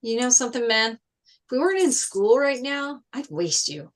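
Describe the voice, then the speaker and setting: A woman's voice: on the high side, lively intonation, moderate pace, lightly acted. A woman reading a proud, protective and blunt teenage boy athlete at a table read of a film script. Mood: confrontational. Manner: confrontational, blunt.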